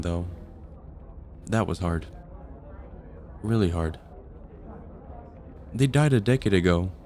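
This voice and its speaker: Casting: male actor as a calm, dryly amused vampire caseworker for ASMR roleplay, lowish register, friendly and explanatory, ambient noise behind. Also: close to an everyday voice. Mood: wistful. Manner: calm, explanatory, gently wry. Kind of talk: ASMR roleplay, conversational acting.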